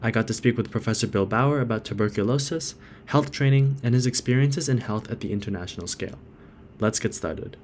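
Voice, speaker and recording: A man's voice: mid-range voice, brisk, darkish tone, a little hiss or hum. A young man, a university student hosting a podcast, reading an intro and then asking eager, hedging questions full of "like". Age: young adult.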